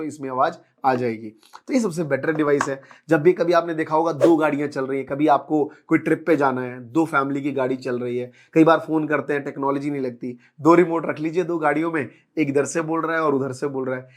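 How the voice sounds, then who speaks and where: An Indian man giving an informal, persuasive product demonstration, explaining things and mixing in English words. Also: fairly high pitch. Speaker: product presenter and seller.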